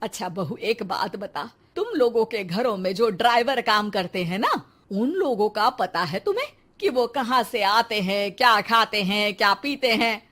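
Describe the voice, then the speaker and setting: A woman's voice: medium pitch, expressive, normal speed, hardly any pauses, fairly bright tone. A woman playing a thrifty, practical mother-in-law in a cartoon, motherly and insistent, with music behind her. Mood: advising. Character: thrifty, practical older mother-in-law, kindly but firm. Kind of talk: cartoon moral-story voice acting. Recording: clear music under the voice.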